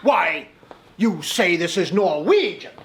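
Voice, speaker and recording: Man doing a pompous lecturing official, high animated voice, echoey hall, laughing crowd. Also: slow pace, heavily acted. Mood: preachy. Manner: pompous, lecturing, stumbling for words. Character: pompous, suspicious male wartime government speaker. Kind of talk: live stage comedy.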